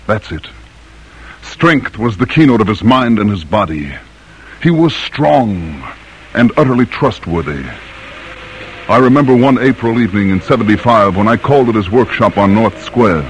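An actor playing a grave, eloquent colonial-era doctor and patriot in an old radio drama, speaking in a measured, solemn way. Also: male voice, on the low side, slightly rough, rough recording.

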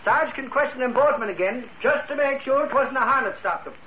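A dull, faintly noisy old radio recording of a blustery Irish reporter. His high, bouncing voice is folksy and comic, in 1940s radio style. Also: a little fast. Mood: chatty. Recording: muffled vintage radio recording, faint noise.

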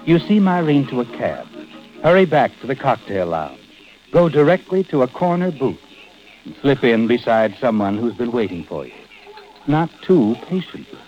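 An actor playing a sinister, all-knowing narrator, ominous and insinuating, in 1940s radio mystery style. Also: male voice, mid-range voice, sing-song, normal speed, lightly acted. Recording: dull old radio recording, music behind.

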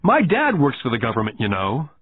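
Guy putting on a whiny little boy, mad at his boss, pitch jumps all over, husky tinny audio. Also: huge pitch swings, a little fast, no room sound. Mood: angry. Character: indignant nine-year-old boy confronting his boss. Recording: tinny phone-like band.

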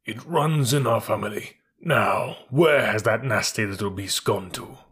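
A man's voice: on the low side, measured pace, a bit bright, husky, heavily acted. A man voicing a nervous, easily offended male elephant in a storybook, fussy and self-pitying in manner. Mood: huffy, rueful.

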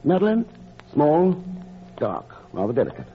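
An actor playing an earnest, eager doctor in a classic radio drama, with a polite but urgent, persuasive manner. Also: male voice, a little high, wildly swooping pitch, moderate pace. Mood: light, curious. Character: earnest, eager married doctor courting a woman furtively. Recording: dull, slightly noisy old radio recording.

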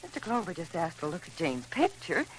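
A woman acting a polite, flustered middle-aged mother, halting and pleading, in an old-time radio drama. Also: fairly low pitch, wildly swooping pitch, slightly rough, heavily acted. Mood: anxious. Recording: faintly noisy vintage radio recording.

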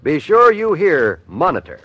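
Animated American male radio announcer. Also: a little high, measured pace, dry recording. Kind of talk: 1950s scripted network radio announcing. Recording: slightly dull vintage radio recording.

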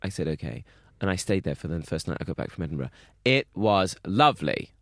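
A man's voice: deep voice, very animated, fairly quick, fairly bright tone, no echo, studio quality. A young man co-hosting a podcast, telling stories in casual chat, with camp, chatty put-on quotes. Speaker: podcast co-host.

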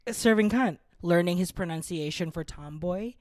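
A woman's voice: lowish voice, normal speed, a little mellow, slight background noise. A woman co-hosting a casual chat show, dramatic and jokey, often breaking into mock sobbing. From Philippines. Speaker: podcast co-host.

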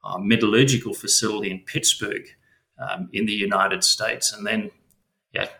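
A man's voice: fairly low pitch, flat delivery, moderate pace, bright, forceful tone, roomy sound, rough recording. A middle-aged Australian man with an Australian accent, answering interview questions as a mining company chief, hesitant and full of fillers and restarts.

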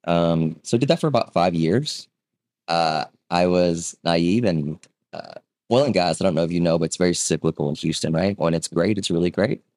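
Very deep-voiced chatty man. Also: normal speed, a little mellow, no echo. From Vietnam. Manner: chatty storyteller, acts out quotes, many ums and rights. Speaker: guest, real estate agent. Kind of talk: casual podcast conversation.

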